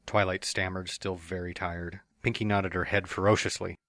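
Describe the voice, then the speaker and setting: A man narrating a fan story in a plain, even storytelling manner. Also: low-pitched, normal speed, no echo, studio quality. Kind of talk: fan dramatic reading of a story.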